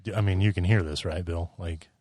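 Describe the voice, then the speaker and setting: A man's voice: low voice, fairly even intonation, brisk, darkish tone, slightly rough, no echo. Sarcastic self-mocking man. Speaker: podcast co-host. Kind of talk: casual banter.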